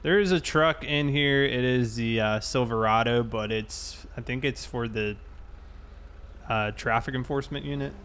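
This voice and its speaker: A man chatting casually and thinking aloud in a halting way as he livestreams a police roleplay game. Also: mid-range voice, fairly bright tone, a little hiss or hum.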